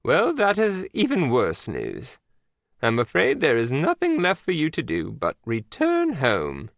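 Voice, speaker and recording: A storybook reader voicing a haughty, dramatic older queen who speaks in a grand, formal, drawn-out way. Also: medium pitch, huge pitch swings, creaky, dry recording, pitched above the performer's own voice, heavily acted. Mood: regretful. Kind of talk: audiobook character voice.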